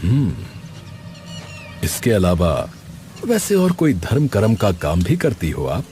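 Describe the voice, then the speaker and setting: A man playing a kindly wandering holy man in an animated story, measured, solemn and sage-like. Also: lowish voice, a little hiss or hum, heavily acted. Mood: calm, knowing. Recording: music under the voice.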